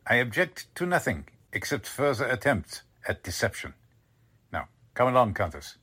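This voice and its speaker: Guy playing a smug polite nazi officer in an old radio play, bright forceful voice, pitch goes all over, formal and condescending. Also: very animated, moderate pace, slightly rough, heavily acted. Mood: cold, impatient. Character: suave, menacing Nazi agent commander, smugly polite. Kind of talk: 1940s radio drama acting.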